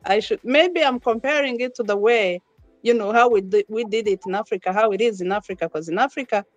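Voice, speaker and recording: A woman's voice: mid-range voice, brisk, no room sound, lo-fi sound, soft background music. Chatty woman guest, dry close sound. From Uganda. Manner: laughs often, many restarts and fillers. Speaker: podcast guest. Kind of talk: casual interview conversation.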